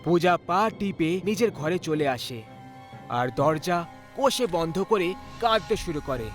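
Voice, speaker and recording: A man's voice: fairly high pitch, lively intonation, unhurried. A man narrating a scripted horror story in a dramatic storytelling style. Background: background music.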